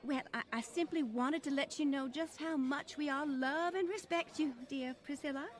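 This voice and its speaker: Radio drama role: actress as high-pitched Southern matron, syrupy polite, effusive, condescending to those who work for her. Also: normal speed, lightly acted. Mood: gushing. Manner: effusive, overpolite, fluttery. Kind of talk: old-time radio acting.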